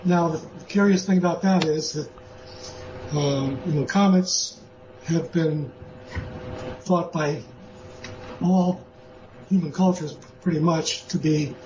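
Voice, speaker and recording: High-voiced man on a dull remote line. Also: noisy background. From born in Austria, lives in the US. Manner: measured, hesitant, many uh pauses. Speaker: guest author. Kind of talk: interview answers.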